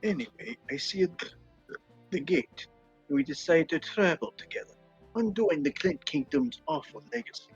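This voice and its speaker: A self-righteous alchemist from a game fan dub, voiced by a man, teasing a companion in a dry manner. The voice is somewhat high and husky. The sound is dull, with faint music behind. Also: expressive, moderate pace. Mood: matter-of-fact. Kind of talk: amateur live fan dub of a video game. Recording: dull, lo-fi voice audio.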